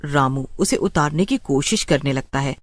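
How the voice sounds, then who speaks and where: A woman's voice: low-pitched, moderate pace, no echo. A woman narrating a scripted horror story in a dramatic storytelling style.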